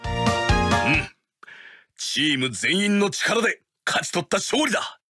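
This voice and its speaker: An actor playing an earnest, dignified young baseball captain with a sincere manner in an anime-style game. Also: male voice, high-pitched, big pitch swings, takes it slowly, full character voice. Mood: sincere, resolute. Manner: sincere, solemn. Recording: loud game music behind the voice.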